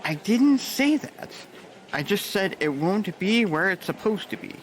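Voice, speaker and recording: A man plays a cynical, foul-mouthed hospital worker who talks with sarcastic, animated swings in a fairly high voice. Faint room ambience sits behind him. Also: a little slow, slight background noise. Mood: sardonic.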